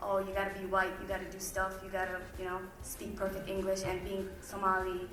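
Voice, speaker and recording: A woman's voice: mid-range voice, completely flat delivery, brisk. A young woman giving a candid personal speech to an audience, chatty, with rhetorical questions. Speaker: guest speaker. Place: event hall. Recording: roomy hall from a distance.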